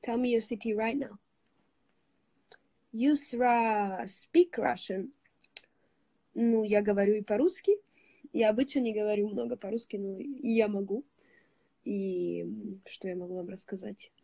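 A woman's voice: mid-range voice, measured pace, vocal fry, long pauses, dry recording. Young woman livestreaming from her bed. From Switzerland. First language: Italian. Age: young adult. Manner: greets viewers, switches languages, relaxed and chatty. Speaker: livestream host. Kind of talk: casual livestream chat with viewers. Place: lying on a bed indoors. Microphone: phone held at arm's length.

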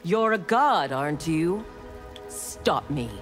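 A woman's voice: lowish voice, expressive, slow. An actress voicing a stern, armored female clan warrior in a fighting game, speaking in a cold, clipped, menacing way. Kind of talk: game intro banter. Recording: game audio with music behind.

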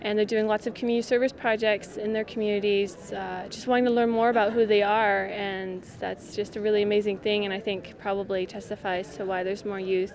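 Woman interviewee, mid pitch, enthusiastic. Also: flat delivery, brisk, few pauses, crowd noise. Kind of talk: interview answers.

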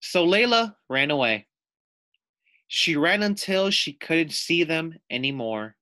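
A man reading a picture book aloud to young children as their teacher, deliberate and explanatory. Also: fairly high pitch, animated, sharp tone, no room sound. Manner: deliberate, explanatory, asks the listeners questions.

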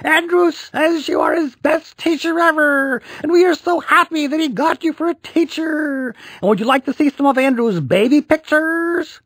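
A man's voice: very high voice, moderate pace, few pauses, fairly bright tone, no room sound, voice pushed up, low-quality recording. A man voicing a fussy, apologetic mother in a storybook, over-eager and prone to stammering. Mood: gushing.

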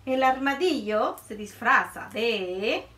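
Slow, lively woman reading a storybook. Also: fairly high pitch, roomy sound, distant mic. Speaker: children's librarian. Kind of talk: reading a picture book aloud to children. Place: small room indoors, before a board.

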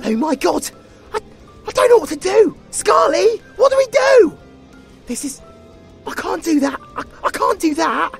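Woman as a shrill, panicking sidekick. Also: sing-song, measured pace, creaky, soft background music, exaggerated voice. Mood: panic. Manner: frantic, stammering, pleading. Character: panicky, frantic sidekick of the hero. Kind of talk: horror audio drama acting.

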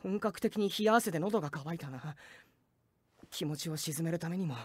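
A woman playing a flustered, earnest, good-natured teenage boy in an anime audio drama, with stammering, excitable delivery. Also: low-pitched, normal speed, slightly rough, no echo. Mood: weary, low. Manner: stammering, excitable, reacts in outbursts. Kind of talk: Japanese anime drama CD acting. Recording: faint music behind the voice. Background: faint background music.

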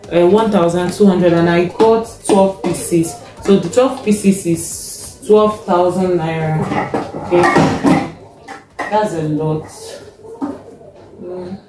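A young Nigerian woman with a Nigerian accent, casually showing her grocery haul, taking her time, checking a receipt and often pausing with fillers. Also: fairly low pitch, dark tone. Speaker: vlogger. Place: home kitchen, indoors. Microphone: fixed camera in front. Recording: echoey kitchen, faint music.

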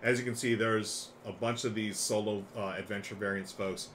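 Middle-aged man, lowish pitch, enthusiastic live-stream game host, casual delivery, noisy room recording. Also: moderate pace. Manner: enthusiastic, chatty. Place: small room lined with board games. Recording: clear steady background noise.

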